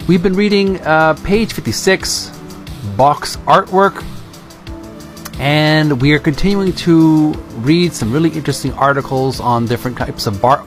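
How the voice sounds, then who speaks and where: A man hosting a channel, reading a book aloud and adding informal descriptive commentary in halting, piecemeal phrases. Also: mid-range voice.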